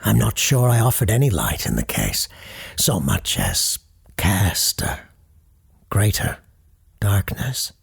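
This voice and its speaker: A man's voice: fairly low pitch, wildly swooping pitch, slow pace, gravelly, pauses often, breathy edge, full character voice. A man playing a courteous, grave parapsychologist who hosts a late-night paranormal radio show, speaking formally and with ominous understatement in an audio drama.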